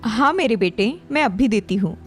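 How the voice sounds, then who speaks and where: A woman's voice: on the high side, lively intonation, normal speed, soft background music, natural voice. A woman voicing an affectionate, reassuring mother of a grown son in a moral story. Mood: caring.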